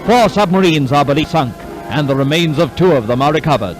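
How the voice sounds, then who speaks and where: A man's voice: a little high, animated, brisk. A man reading scripted wartime newsreel narration in a clipped, dramatic, declamatory way. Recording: 1940s newsreel soundtrack, music behind. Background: orchestral newsreel music.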